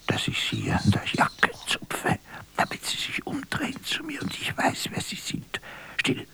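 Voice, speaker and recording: An actor playing a brooding, defiant poet in a radio play, halting and dramatic, with a gravelly, breathy whisper. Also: male voice, medium pitch, exaggerated voice. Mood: brooding, intimate, then alert, uneasy. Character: male poet Fernando Pessoa, brooding, theatrical, defiant radio caller.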